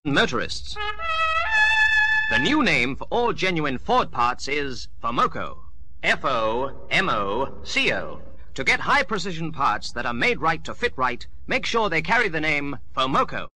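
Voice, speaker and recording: A man's voice: on the high side, very animated, a little slow, bright, forceful tone. A man delivering a scripted radio commercial as an announcer. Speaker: advertising announcer. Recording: noisy old radio advert.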